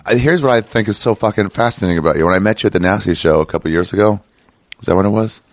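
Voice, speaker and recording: A man's voice: lowish voice, very fast, no echo. An American man hosting a podcast, chatting bluntly and telling stories with animation. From United States. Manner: blunt, animated storyteller, swears. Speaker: podcast host, comedian. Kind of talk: casual chat.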